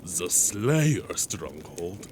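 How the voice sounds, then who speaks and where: Male, actor as noble werewolf for audio drama; low pitch, very unhurried, formal and proud, faint ambience. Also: very animated, darkish tone, slight background noise, heavily acted. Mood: formal, probing. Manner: slow, formal, deliberate. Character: dignified male werewolf, proud, courteous pack member. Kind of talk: cinematic audio roleplay acting.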